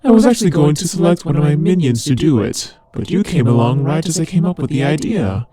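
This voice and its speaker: A man's voice: on the high side, expressive, fairly quick, a loud crowd, lightly acted. A man playing a teasing, sardonic demon ruler of hell in a scripted roleplay audio drama, with a conversational, theatrical delivery. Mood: businesslike, pleased.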